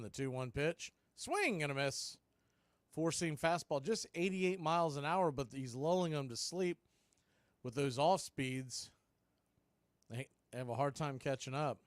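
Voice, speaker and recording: A radio announcer calls a baseball game pitch by pitch, brisk and conversational as he keeps up with the count. His voice sits a touch high for a man. The sound is dry and close, with no room around it. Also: no room sound. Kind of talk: live baseball play-by-play commentary.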